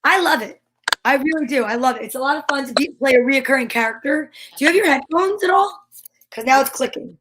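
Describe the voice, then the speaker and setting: A woman's voice: high-pitched, lively intonation, fast, a bit bright, poor audio. A woman co-hosting a livestream in casual, chatty banter. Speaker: co-host of a livestream show.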